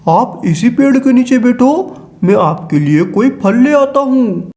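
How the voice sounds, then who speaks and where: Man voicing a high, mellow father bird. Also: lively intonation, measured pace. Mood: gentle, fatherly. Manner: gentle, fatherly. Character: gentle worried father bird, caring and apologetic. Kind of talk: Hindi cartoon voice acting.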